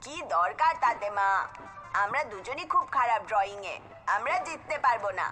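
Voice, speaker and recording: A woman's voice: on the high side, lively intonation, moderate pace, pushed and bright, soft background music. A woman voicing a young school child who protests in a whiny way. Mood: reluctant. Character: young school child, reluctant and eager for stories.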